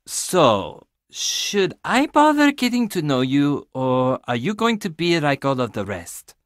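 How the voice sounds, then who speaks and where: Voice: medium pitch, very animated, slow, no echo, clean studio sound. An actor playing a quirky, chatty young handyman who loves puzzles in a video game, enthusiastic with sardonic, casual asides. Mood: wry, confiding. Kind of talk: video game character dialogue.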